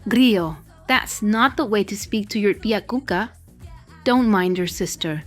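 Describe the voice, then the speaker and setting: A woman's voice: mid-range voice, lively intonation, measured pace, close to an everyday voice. A woman playing an exasperated middle-aged mother, scolding and coaxing in an audio drama. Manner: scolding, pleading. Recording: music behind the voice.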